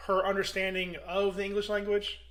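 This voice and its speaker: A man with a fairly high, bright and forceful voice talks quickly in a relaxed podcast chat. He is opinionated and blunt, and he often trips over his words, repeating them before finishing a point. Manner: opinionated, fast, stumbling restarts. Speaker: podcast co-host. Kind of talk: casual movie review chat.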